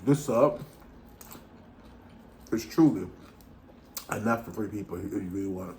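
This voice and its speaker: A man's voice: on the low side, expressive, measured pace, a little mellow, slight background noise. An American man chatting casually and slangily between bites as a food vlogger, with an informal way of talking. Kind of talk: casual chat while eating.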